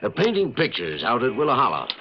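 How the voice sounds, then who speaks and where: An actor playing a smug, meddling town doctor in a 1950s radio drama, coaxing and patronizing. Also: male voice, mid-range voice, wildly swooping pitch, quick pace. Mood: fussy, suspicious. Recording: muffled, noisy 1950s radio broadcast.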